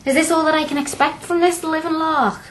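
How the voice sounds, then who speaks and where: A woman's voice: high-pitched, fairly even intonation, brisk, a little hiss or hum, natural voice. An actress playing a feisty, blunt young working-class woman with a Liverpool accent in a radio drama, chatty and outspoken. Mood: chatty, reflective. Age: young adult.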